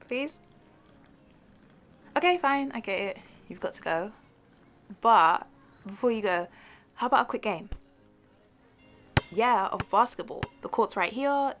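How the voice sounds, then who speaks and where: A woman's voice: medium pitch, gravelly, a bit bright. Playful, teasing sporty young woman acted out. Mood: nervous, hopeful. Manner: playful, teasing, with hesitant halting starts and giggles. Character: sporty young woman, teasing, competitive childhood best friend reuniting. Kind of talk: audio roleplay acting, one-sided conversation with listener.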